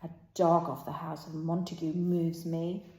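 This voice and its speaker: A woman playing a boastful, quarrelsome male servant in an old stage play, in a halting declaimed delivery that moves between muttering and projecting. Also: low-pitched, little pitch movement, warm tone, voice pushed down. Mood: swaggering. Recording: roomy, echoing sound.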